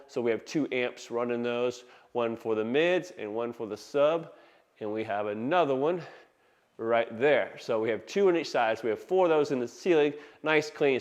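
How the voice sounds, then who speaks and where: A man presenting an audio installation in a casual, enthusiastic video walkthrough, talking informally like a salesman while filming by hand in a living room. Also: medium pitch. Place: inside a large open living room. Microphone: handheld phone camera.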